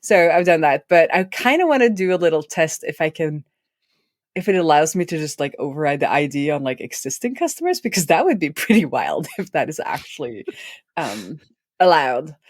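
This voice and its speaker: A woman's voice: low voice, very animated, moderate pace, no echo, studio quality. A woman with a head cold and a Norwegian first language, co-hosting a casual tech chat, rambling and laughing often. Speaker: co-host.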